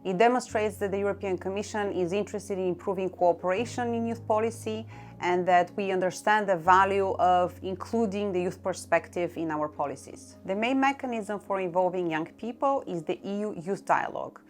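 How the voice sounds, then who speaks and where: A middle-aged woman from Bulgaria with a Bulgarian accent, explaining her work in a measured way and listing items one by one. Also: mid-range voice. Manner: measured, lists items one by one with short pauses. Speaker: EU youth coordinator. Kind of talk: explanatory talk to camera. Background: faint background music.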